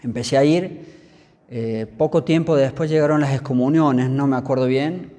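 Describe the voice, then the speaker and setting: Male interviewee, fifties, Argentine priest. Soft-edged, mellow tone, measured and pausing delivery, reverberant room. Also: mid-range voice. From Córdoba, Argentina. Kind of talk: conversational storytelling in an interview. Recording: long reverberant hall.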